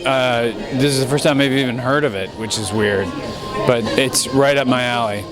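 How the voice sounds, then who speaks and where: A man chatting in a relaxed, conversational way at a premiere. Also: medium pitch, moderate pace, rough recording. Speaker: guest. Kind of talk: casual carpet interview. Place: outdoor premiere carpet at a venue. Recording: clear music behind.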